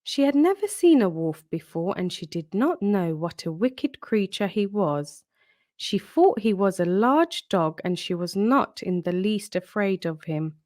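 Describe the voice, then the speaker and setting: A woman storyteller narrating a children's storybook in an expressive read-aloud style. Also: mid-range voice, measured pace, no echo, clean studio sound.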